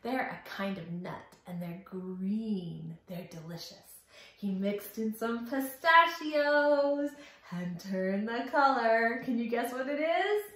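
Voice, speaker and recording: A woman's voice: a little high, a bit bright. A woman hosting a children's storytime, speaking in an exaggerated, sing-song way, asking questions and giving praise as she tells the story. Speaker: children's library storytime host. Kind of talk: interactive storytelling for young children. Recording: very echoey room.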